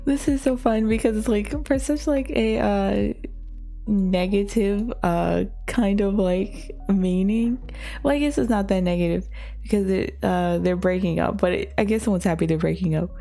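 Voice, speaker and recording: A hoarse woman chatting casually as she pauses and reacts to a music video, full of fillers and giggles. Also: mid-range voice, mellow. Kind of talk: casual commentary. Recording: faint music behind.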